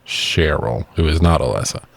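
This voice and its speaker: A man's voice: a bass voice, lively intonation, normal speed, vocal fry. A man co-hosting a casual film review chat, talking informally and swearing freely. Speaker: podcast co-host reviewing films.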